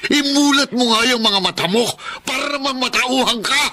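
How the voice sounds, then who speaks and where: A man's voice: very high voice, normal speed, sharp tone, heavily acted. A man with a Filipino accent playing a protective, exasperated older father who scolds emphatically in a radio drama. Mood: exasperated scolding.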